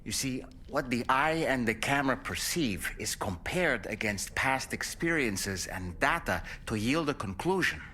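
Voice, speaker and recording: Lively, wry, erudite companion. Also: male voice, mid-range voice, a little slow, hardly any pauses, slight background noise. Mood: musing, explanatory. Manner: articulate, wry, professorial. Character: erudite, chatty male psychologist companion, wry and curious. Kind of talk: game companion dialogue, explanatory.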